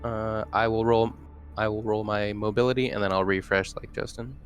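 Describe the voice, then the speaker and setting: A man with a slightly low voice chatting as a player in a remote tabletop game. His manner is informal and slangy, with faint music under the talk. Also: flat delivery, normal speed. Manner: informal, slangy, mild swearing. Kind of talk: casual game chat over video call. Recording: video call, music behind the voice.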